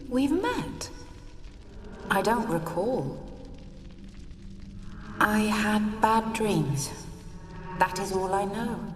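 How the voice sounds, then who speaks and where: A woman playing the wistful, fragile ghost of a forsaken wife in a video game, speaking in a hushed, sorrowful way. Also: medium pitch, lively intonation, very slow, pauses often, soft background music. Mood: sad, dazed. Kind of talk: dramatic video game dialogue. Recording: reverberant, distant hall effect.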